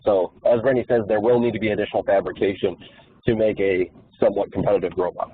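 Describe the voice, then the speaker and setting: A man with a somewhat low, husky voice explains things informally on a conference call. He is plain-spoken and blunt, and the sound is narrowed by a telephone line. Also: fairly even intonation, quick pace.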